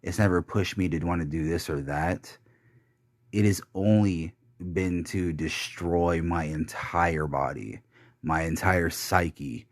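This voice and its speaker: A man's voice: sits low, no room sound, studio quality. A young man recording a confessional podcast monologue, bitter and rambling, swearing often and restarting his sentences. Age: young adult. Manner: halting, with many restarts and fillers.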